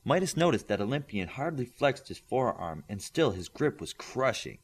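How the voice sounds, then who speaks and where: A man's voice: medium pitch, big pitch swings, moderate pace, slightly rough. A man reading a story aloud as scripted audiobook narration. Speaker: audiobook reader.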